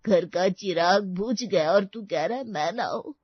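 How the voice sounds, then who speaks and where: A woman's voice: medium pitch, unhurried, breathy edge, dry recording, muffled recording. A woman voicing a doting, emotional older village uncle with dramatic, exclamatory delivery in a story. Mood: shocked, distressed. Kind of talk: Hindi audio story dramatization.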